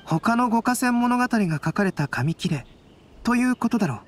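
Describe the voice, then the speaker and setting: A calm, thoughtful young artist-scholar from an anime game, acted by a woman. He speaks politely and analytically, with lively pitch movement, over faint music. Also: normal speed, natural voice. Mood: pensive. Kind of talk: anime game dialogue acting.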